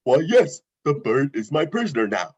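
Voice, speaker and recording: A man's voice: medium pitch, very animated, moderate pace, slightly rough. A man voicing an eager, naive, friendly talking dog with childlike earnest wording at a table read, on a muffled mic. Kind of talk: animated film script table read. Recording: muffled lo-fi microphone.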